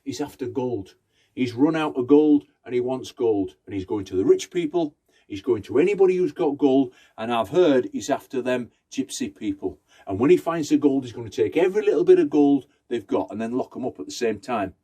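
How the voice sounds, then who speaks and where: A man storyteller voicing an excitable, gossiping market townsperson in a folktale, incredulous and emphatic. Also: mid-range voice, a little fast, breathy edge. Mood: gossipy alarm. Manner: incredulous, emphatic, rhetorical questions.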